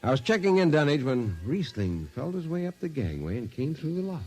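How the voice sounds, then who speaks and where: A man's voice: on the high side, big pitch swings, brisk, a little mellow. An actor playing a veteran spaceship chief jetman, a plain-spoken shipmate, in old-time radio drama. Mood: reminiscing. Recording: vintage radio recording.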